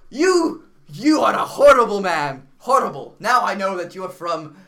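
A man playing a crude, excitable pub barman and father in an improvised comedy sketch, blunt and profane. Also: sits high, moderate pace, heavily acted. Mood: outraged. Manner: hesitant, repetitive, blunt and profane.

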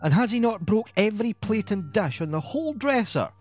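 A heavyset lady of the manor rails in a raised, shrill voice, as a male narrator plays her. She is outraged and indignant. Quiet music sits underneath. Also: big pitch swings, fairly quick. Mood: furious.

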